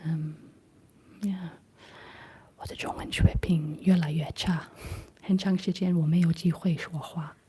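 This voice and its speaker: A young woman with a Scottish accent hosting a whispered ASMR livestream, chatting gently through viewer comments in a soft, unhurried way; her voice is deep for a woman. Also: fairly even intonation. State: slight frog in throat.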